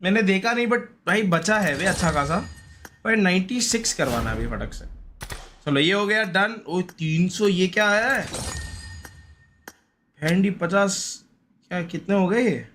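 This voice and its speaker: A man's voice: high-pitched, animated, unhurried, long pauses, a bit bright, a little hiss or hum. A man giving casual, slangy live gaming commentary, often repeating short pleading phrases. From India. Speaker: gaming streamer.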